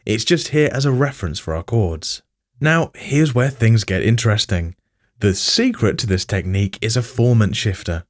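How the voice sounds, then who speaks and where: A man's voice: on the low side, expressive, moderate pace. A man narrating a scripted music production tutorial as its host.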